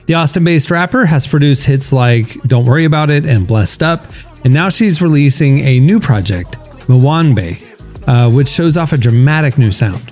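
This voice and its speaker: A man's voice: medium pitch, animated, moderate pace, a little mellow, soft background music. Upbeat man hosting a podcast. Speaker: podcast host. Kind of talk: podcast hosting and promo reads.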